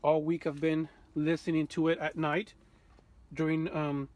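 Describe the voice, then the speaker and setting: A man presenting a casual hands-on walkthrough as a radio restorer, informal and halting as he thinks aloud. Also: a little high, flat delivery, a little mellow.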